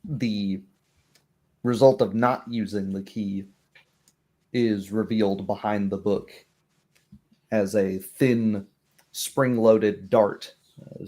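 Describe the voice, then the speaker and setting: A man narrating a tabletop roleplay as game master in a measured, descriptive way. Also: on the low side, darkish tone.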